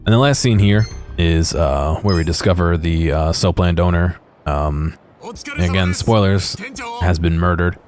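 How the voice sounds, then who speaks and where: A man giving unscripted commentary on video game footage in a hesitant, rambling, low-key way. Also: a little slow, a little mellow, soft background music.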